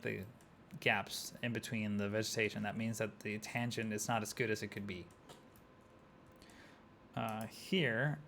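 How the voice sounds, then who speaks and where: Gravelly man chatting over a city-building game. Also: on the low side, a bit bright, slight background noise. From Argentina. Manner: rambling, chatty, talks to chat, many "like" fillers. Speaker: streamer. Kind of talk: casual gameplay commentary.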